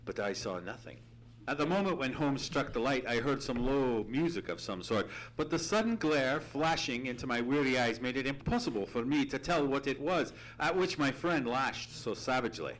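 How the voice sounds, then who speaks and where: A man's voice: medium pitch, lively intonation, moderate pace, a bit bright, lightly acted, low-quality recording. Man acting Doctor Watson, earnest, suspenseful radio narrator. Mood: grave, horrified. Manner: theatrical, suspenseful, formal storytelling. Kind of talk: old-time radio dramatic storytelling. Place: theatre stage, live radio play.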